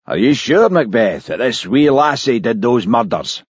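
Man doing a worried scottish detective, audiobook, lumbering and doubtful, husky. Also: medium pitch, sing-song, normal speed, dry recording, muffled recording, pitched above the performer's own voice. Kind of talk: audiobook character voice.

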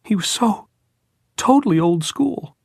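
Man voicing a high, excitable young schoolboy. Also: normal speed, no room sound, clean studio sound, close to an everyday voice. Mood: grieving. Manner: animated, impatient, urgent speech. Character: excitable, grieving adolescent schoolboy, brittle and eager. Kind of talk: audiobook character voice.

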